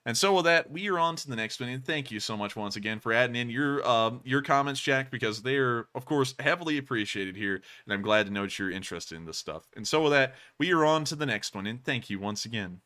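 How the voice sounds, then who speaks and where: A young man co-hosting a live stream, talking in a highly fluctuating, high-pitched voice. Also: brisk, hardly any pauses. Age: young adult. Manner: animated, high-pitched, swooping delivery.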